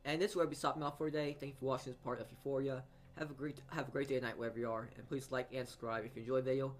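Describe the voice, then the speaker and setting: A man's voice: mid-range voice, level tone, slight background noise. A man reading a visual novel aloud as a let's-player, stumbling over lines and adding his own asides. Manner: halting reading with frequent stumbles. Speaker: video game let's-player.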